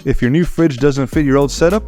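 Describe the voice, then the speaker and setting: A man's voice: on the high side, fairly quick, darkish tone, faint music behind. A man co-hosting a podcast in casual, slangy chat, with a laid-back and agreeable manner. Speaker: podcast co-host.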